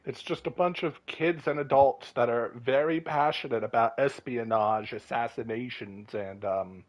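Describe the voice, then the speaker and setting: A man's voice: medium pitch, normal speed, rough recording. A young man giving casual, playful gameplay commentary in a rambling, incredulous way. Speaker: game streamer.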